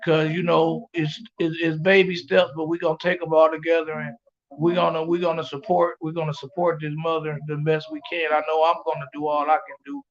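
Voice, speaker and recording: Gravelly-voiced man on a dull phone stream. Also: on the high side, little pitch movement, brisk. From Flint, Michigan. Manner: rambling, repeats words, speaks straight to his audience. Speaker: podcast host. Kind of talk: casual live address to viewers. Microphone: phone, streaming from afar.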